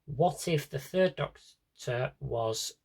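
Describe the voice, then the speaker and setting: A British man talks casually to viewers in an unscripted vlog style. His voice is mid-pitched and a bit raspy, with very animated intonation. He rambles along, correcting himself and dropping in dry, offhand asides. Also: slow pace. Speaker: video blogger showing an audio drama CD collection. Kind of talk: casual unscripted collection tour.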